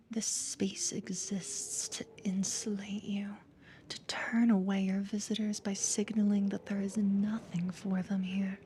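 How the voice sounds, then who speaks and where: Actress for creepy female therapist, ASMR hypnosis roleplay: mellow, breathy whisper, unhurried, calm and ominous control. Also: medium pitch, slight background noise, close to an everyday voice. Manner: slow, deliberate, soothing yet ominous.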